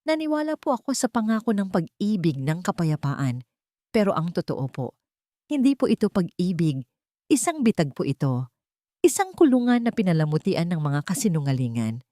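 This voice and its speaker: A narrator plays a betrayed Filipino woman testifying in a romance audio drama. Her voice is steady and earnest, slightly low, recorded dry. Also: normal speed, dry recording. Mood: earnest, hurt.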